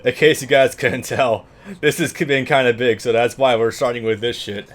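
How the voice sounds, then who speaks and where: A man's voice: medium pitch, fairly even intonation, normal speed, a bit bright. A man from the United States co-hosting a let's play, chatting casually and swearing freely. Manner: casual and profane. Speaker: let's play co-host and gamer. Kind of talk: casual gaming chat and commentary.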